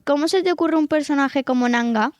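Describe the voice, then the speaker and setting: A woman interviews as a volunteer, delivering a prepared question. Her voice is high, in a dry recording. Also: brisk, no echo.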